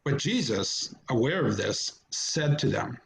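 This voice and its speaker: A man's voice: mid-range voice, very animated, measured pace, vocal fry, fairly bright tone, a little hiss or hum, poor audio. A man narrating scripture from a script, recorded at home over a video call.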